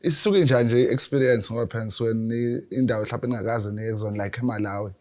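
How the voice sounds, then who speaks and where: A man's voice: lowish voice, fairly even intonation, fast, darkish tone. A South African man interviews a guest casually, asking mostly in Zulu mixed with English and often answering with short agreements.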